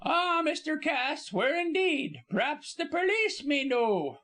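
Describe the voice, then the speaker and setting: A man's voice: very high-pitched, big pitch swings, slow pace, bright, forceful tone, pitched above the performer's own voice, exaggerated voice. A man voicing an indignant, gossipy older Cockney servant woman, wordy and emphatic, dropping aitches, in an audiobook story. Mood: knowing, gossipy.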